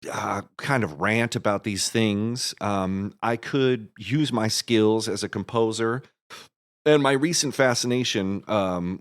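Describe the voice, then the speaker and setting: Male podcast guest, slightly deep, conversational and thoughtful, halting explainer. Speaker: guest, composer and marketer. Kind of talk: casual interview explanation.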